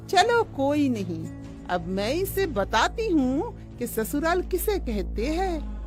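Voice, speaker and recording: A woman's voice: high-pitched, full character voice. A woman playing a greedy, scheming middle-aged mother-in-law in a moral story, with a scornful, calculating way of talking. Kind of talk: Hindi moral story voice acting.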